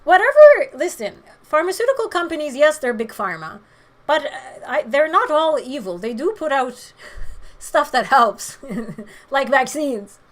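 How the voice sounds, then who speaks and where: A woman with a hoarse voice, chatting frankly with viewers during a livestream and giving her opinions with many pauses. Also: sits high, big pitch swings. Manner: frank, opinionated, hesitant fillers. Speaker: livestream host. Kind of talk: casual chat answering viewer comments.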